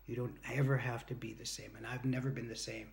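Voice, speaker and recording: A man's voice: mid-range voice, little pitch movement, quick pace, gravelly. A man in his early fifties giving an earnest, emphatic motivational talk, telling anecdotes straight to the camera. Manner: earnest, emphatic, anecdotal, acts out short quoted exchanges. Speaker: motivational speaker and workshop leader. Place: indoors, home room with sloped ceiling. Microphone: phone or device at arm's length.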